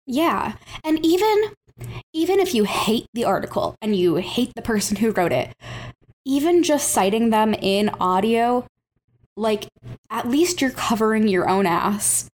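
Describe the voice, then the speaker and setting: A woman's voice: on the high side, lively intonation, unhurried, a little mellow. A woman co-hosting a podcast, giving advice in an informal, emphatic, conversational way. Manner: informal, emphatic, acts out example dialogue. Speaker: podcast co-host.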